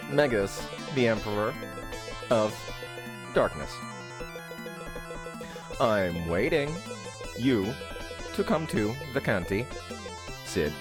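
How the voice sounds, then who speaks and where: A man's voice: on the low side, heavily acted. A man voicing melodramatic anime game characters in a stilted, declamatory manner. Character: theatrical anime game characters reading out cutscene lines.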